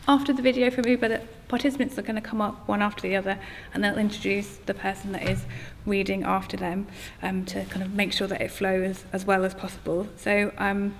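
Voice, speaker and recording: A woman giving a welcome speech to a live audience as the host of an event. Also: mid-range voice. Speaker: event host and festival partner manager. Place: reverberant event hall. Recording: echoey hall, long reverb, background noise.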